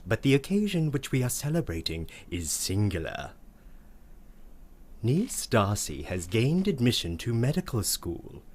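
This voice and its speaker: An actor playing a prissy, verbose uncle with a pompous, ornate manner in a comic radio play monologue. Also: male voice, medium pitch, big pitch swings, a little slow, a little mellow. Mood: proud, fussy. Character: prissy, verbose, scandalized uncle telling family tales.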